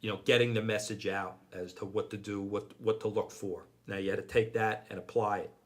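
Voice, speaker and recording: A man's voice: fairly low pitch, level tone, brisk. A middle-aged man, a deputy fire chief giving earnest advice in a podcast interview, often repeating himself and adding "okay".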